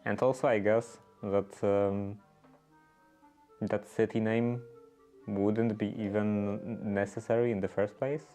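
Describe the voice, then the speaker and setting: Slow, flat-voiced man. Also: lowish voice, soft background music. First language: Polish. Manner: hesitant, searching for words. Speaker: livestream host. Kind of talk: casual livestream chat while knitting.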